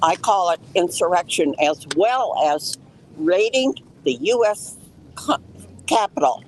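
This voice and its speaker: An older woman from Colorado, loud and plain-spoken, making a statement outdoors as a case's lead petitioner. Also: fairly low pitch, expressive, takes it slowly, slight background noise, rough recording. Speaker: lead petitioner speaking at a press conference. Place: outdoors on a city street.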